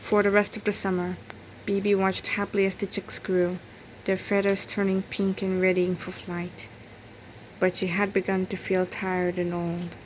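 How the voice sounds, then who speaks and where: A woman reading a children's picture book aloud in an expressive storytelling manner. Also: mid-range voice, normal speed, a little hiss or hum, rough recording. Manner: expressive storytelling with acted character voices. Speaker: storybook reader.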